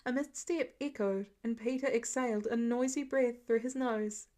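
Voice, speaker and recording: A woman's voice: a little high, steady tone, moderate pace, darkish tone. A woman reading a scripted story aloud as the narrator of an audio fiction recording. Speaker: podfic narrator.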